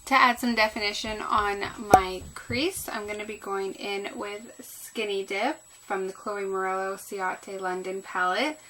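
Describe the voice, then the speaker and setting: A woman's voice: medium pitch, fairly even intonation, unhurried, hardly any pauses, noisy background. A woman chatting casually through a makeup tutorial as a beauty vlogger, sounding a little groggy and tired. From Canada. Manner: chatty, rambling.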